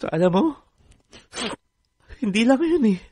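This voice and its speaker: An actor playing a weary, wounded husband and father in a radio drama, speaking haltingly and pleadingly. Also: high-pitched, very animated, a little mellow, breathy edge, exaggerated voice. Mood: anguished. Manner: halting, pleading, repeats words. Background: background music.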